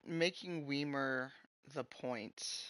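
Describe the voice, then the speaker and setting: A man streaming a video game, chatting casually and slowly, sometimes mildly frustrated with the game. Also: medium pitch, fairly bright tone, clean tone, no echo. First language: English. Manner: slow, musing, mildly frustrated. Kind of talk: casual gameplay commentary.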